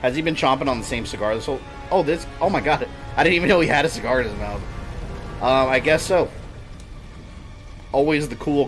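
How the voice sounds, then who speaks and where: Rambling man in his thirties, streaming. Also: medium pitch. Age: 30s. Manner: rambling, chatty, with laughs. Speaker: game streamer. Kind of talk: casual chat while gaming.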